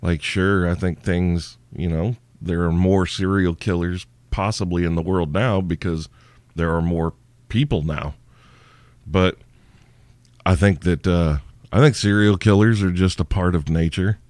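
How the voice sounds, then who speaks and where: Low-voiced, sleepy, profane male podcaster. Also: steady tone, a little slow, a little mellow, dry recording. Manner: profane, irreverent, rambling. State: sleepy, tired. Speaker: podcast host. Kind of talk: crude solo Q&A podcast.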